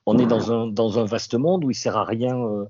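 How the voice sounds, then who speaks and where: A man with a southwestern French accent, a radio journalist giving reflective answers as an interview guest, halting and restarting as he thinks aloud. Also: mid-range voice, warm tone, a little hiss or hum. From France. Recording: dull, dry lo-fi sound.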